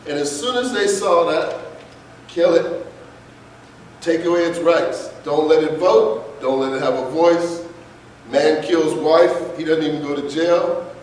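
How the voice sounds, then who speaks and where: A man lecturing with passion and emphasis, his voice pitched a little high for a man. He is picked up from far off, and the sound rings through a large, echoey hall. A faint hiss sits under it. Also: a little slow, a little mellow. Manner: impassioned, emphatic, asks rhetorical questions. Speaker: guest speaker. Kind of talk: lecture to an audience.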